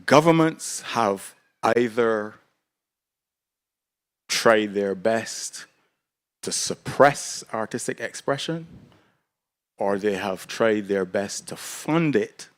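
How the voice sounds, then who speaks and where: Slow, measured low-voiced man. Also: lively intonation, no echo. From Barbados. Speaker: panelist. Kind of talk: panel discussion talk. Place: conference hall panel.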